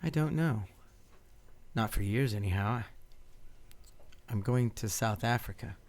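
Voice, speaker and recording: A man acts out a tender doctor in love in a radio romance. He speaks haltingly and earnestly, like someone struggling through a goodbye. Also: on the low side, a little mellow, natural voice. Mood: halting, sad. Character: tender, lovelorn married doctor saying farewell. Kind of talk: radio play acting.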